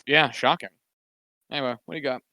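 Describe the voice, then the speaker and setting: Bright, wry podcast co-host man. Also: medium pitch, steady tone, fast talker, no echo. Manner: wry, sarcastic, rambling with many fillers. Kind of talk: casual sports podcast banter.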